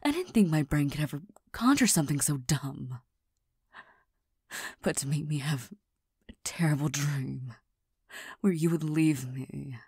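A woman voicing a proud, touchy young girlfriend who hides her tenderness, speaking in a soft half-whisper with stammers and nervous laughs into a close mic. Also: low voice, animated, unhurried, long pauses, slightly rough, dry recording, full character voice. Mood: vulnerable, defensive. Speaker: voice actor in a listener roleplay. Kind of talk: whispered girlfriend comfort roleplay.

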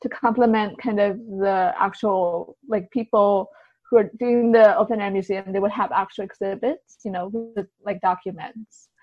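A woman speaking as an interview guest, casually recounting experiences in a halting, think-aloud way. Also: medium pitch, fairly even intonation, no echo, highs cut off. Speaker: podcast guest, writer and translator.

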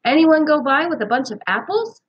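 A woman voicing an excitable, puzzled boy at summer camp in a storybook, urgent and questioning. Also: sits high, fairly quick, dull audio, pitched above the performer's own voice. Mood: curious, questioning.

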